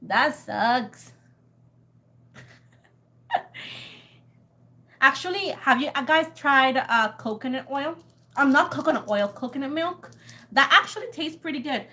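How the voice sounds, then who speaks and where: A woman's voice: sits high, expressive, a little slow, long pauses, pushed and bright. A young Puerto Rican woman with a Puerto Rican accent, rambling and laughing as she chats casually with viewers of her tarot livestream. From Puerto Rico. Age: young adult. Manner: chatty and rambling, laughs often, swears casually. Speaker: tarot reader.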